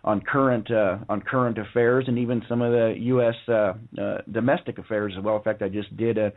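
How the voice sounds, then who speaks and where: Hoarse man talking over a phone line. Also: fairly low pitch, steady tone, fairly quick. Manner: rambling, many fillers and restarts. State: hoarse from a lung infection. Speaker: radio show guest. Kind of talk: casual phone-in interview talk. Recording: narrow phone-line sound.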